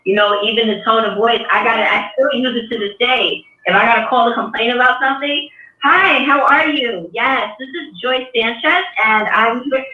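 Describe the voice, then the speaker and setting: A woman's voice: a little high, normal speed. A woman speaks earnestly, working through her thoughts aloud with many restarts. Her voice carries a roomy echo and sounds muffled, set well back from the microphone. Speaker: artist. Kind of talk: casual panel discussion. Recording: dull, echoey sound from a distance.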